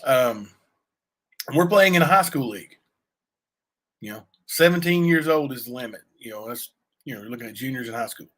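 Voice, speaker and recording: Man, raspy voice, casual livestream chatter, lots of fillers, medium pitch. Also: animated, normal speed, long pauses, fairly bright tone. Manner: rambling, many fillers and asides to chat. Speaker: livestream cohost; youth basketball coach.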